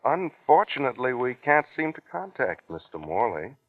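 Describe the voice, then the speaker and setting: An actor playing a quietly menacing police investigator, politely insinuating, in old-time radio suspense style. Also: male voice, mid-range voice, sing-song, normal speed, no echo. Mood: coolly insinuating. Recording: tinny, dry vintage radio recording.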